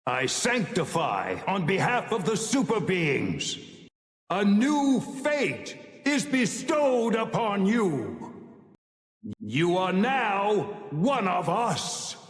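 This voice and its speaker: A man's voice: high voice, sing-song, very slow, full character voice. A man playing an ancient, imperious sage with divine power in a video game, speaking in stern, solemn, grandiose proclamations. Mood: commanding, menacing. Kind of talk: theatrical game voice lines. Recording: long cavernous reverb on the voice.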